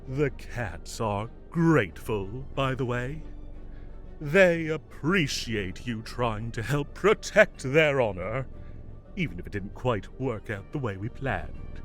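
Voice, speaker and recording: Guy doing a mischievous fae king for audio rp, super animated, takes his time, gets flustered n halting, secretly soft. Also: medium pitch, faint music behind. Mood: tender, bashful. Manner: theatrical, halting when flustered. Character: mischievous, evasive male fae king of summer, secretly fond. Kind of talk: scripted audio roleplay acting.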